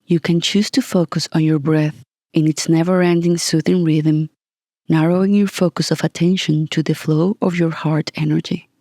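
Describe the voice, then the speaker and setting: A meditation guide reading a scripted session in a calm, gentle, soothing manner with long pauses. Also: medium pitch, no echo, studio quality.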